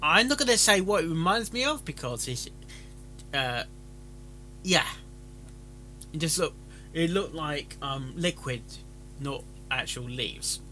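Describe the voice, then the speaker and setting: A man's voice: a little high, animated, slow, long pauses, bright, forceful tone, faint music behind, rough recording. A man giving rambling, casual commentary as a stream host, stammering and often repeating words as he goes. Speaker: host of a fan fiction reading stream.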